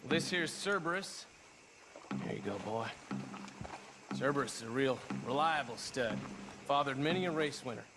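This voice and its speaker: Actor as a country stable hand, noisy background. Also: male voice, mid-range voice, expressive, moderate pace, long pauses, close to an everyday voice. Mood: grudging, salesmanlike. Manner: folksy, ungrammatical country talk. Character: gruff, suspicious rural Southern stable hand who loves horses. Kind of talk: video game cutscene acting.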